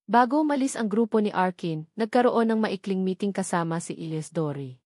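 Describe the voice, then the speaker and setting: A woman's voice: medium pitch, lively intonation, fairly quick, a little mellow, dry recording, clean studio sound. A woman with a Filipino accent reading scripted narration of a romance story.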